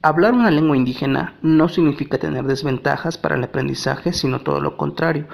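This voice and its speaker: A man from Mexico whose first language is Nahuatl, reading a language lesson in a measured, deliberate way. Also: on the high side, vocal fry. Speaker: language teacher.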